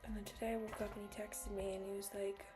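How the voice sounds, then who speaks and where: A young woman telling a personal story in a hushed whisper, frank and full of swearing. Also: medium pitch, flat delivery, fast, over music.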